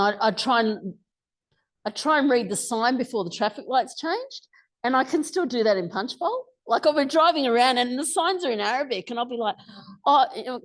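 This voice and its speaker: A woman in her forties with an Australian accent, giving a chatty, lively public talk as a guest speaker, full of asides and restarts. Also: mid-range voice. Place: lecture room, indoors. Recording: echoey lecture room, faint noise.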